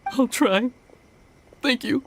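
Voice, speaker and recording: A man's voice: very high-pitched, slow, fairly bright tone, slight background noise, exaggerated voice, low-quality recording. An actor playing a resigned, downcast young man in a video game, speaking in a hesitant, dejected way. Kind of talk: video game character acting.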